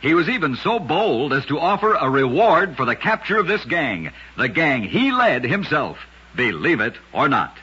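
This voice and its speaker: A man hosting a radio show, narrating scripted curiosities in a measured, dramatic storyteller manner. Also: on the high side, big pitch swings. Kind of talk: scripted old-time radio narration of oddities. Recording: dull, lo-fi old radio recording.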